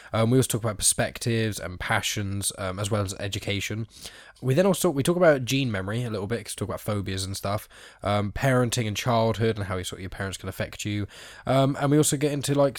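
Fast-talking congested young male podcast host. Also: fairly low pitch, no echo, studio quality. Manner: rambling, many ums and sort-ofs. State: blocked nose from hay fever. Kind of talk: casual podcast chat.

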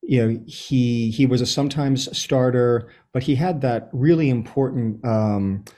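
A man in his fifties with an American accent, answering interview questions in a thoughtful, halting, storytelling way. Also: mid-range voice, dark tone. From Los Angeles, USA, born in Chile. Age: 50s. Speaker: interview guest, soccer writer and supporter. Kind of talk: reflective conversational interview answers.

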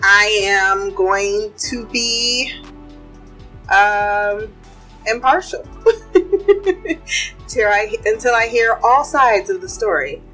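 A woman's voice: mid-range voice, very slow, pauses often, bright, forceful tone, faint music behind, rough recording. A woman hosting a casual podcast, running through celebrity gossip and fun facts, chatty and blunt, swearing freely. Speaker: podcast host. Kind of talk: casual pop culture chat and fun facts.

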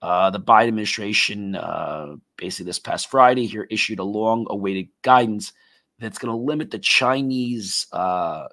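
A man hosting a live tech talk show, his voice a little raspy and tired, rambling with many fillers and restarts. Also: lowish voice, a bit bright.

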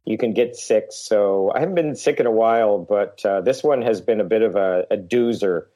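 A middle-aged man recovering from a cold, speaking as a guest coach in a relaxed, steady way, with frequent fillers. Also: on the low side, moderate pace. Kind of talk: casual interview talk.

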